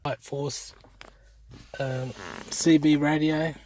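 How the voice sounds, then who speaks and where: An Australian man giving a casual hands-on installation demo in halting, unscripted short phrases. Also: fairly high pitch, little pitch movement. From South Australia. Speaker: amateur YouTube presenter. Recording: noisy amateur handheld recording, clicks.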